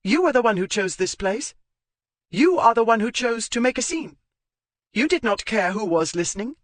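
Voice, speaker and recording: A woman's voice: on the low side, lively intonation, moderate pace, no echo, voice pushed up, natural voice. A man voicing a fiery, strong-willed young woman who speaks in a clipped, formal way. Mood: indignant. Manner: indignant, clipped formal speech.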